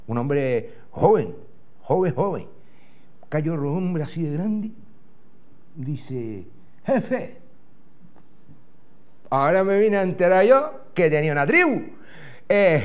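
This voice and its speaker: Lively Canarian man telling a comic tale. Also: on the high side. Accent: Canarian Spanish. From Gran Canaria. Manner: rambling storyteller, swears, voices other people. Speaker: comic host. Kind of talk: comic monologue in character. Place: TV studio in front of a backdrop. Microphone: lapel.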